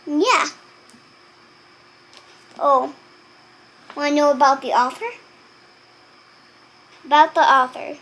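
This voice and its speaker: A young girl reading aloud and chatting to viewers in a halting, deliberate way. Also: high-pitched, fairly even intonation, a bit bright. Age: child.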